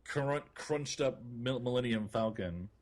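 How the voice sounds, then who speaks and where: A man's voice: mid-range voice, unhurried. A man co-hosting a gaming podcast, chiming in with casual, informal chat. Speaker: podcast co-host.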